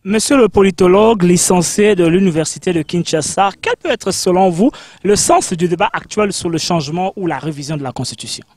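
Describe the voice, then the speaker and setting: A man with a Congolese French accent, hosting a debate with formal, deliberate phrasing. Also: high voice, darkish tone, dry recording. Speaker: host of a debate programme. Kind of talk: formal broadcast interview introduction.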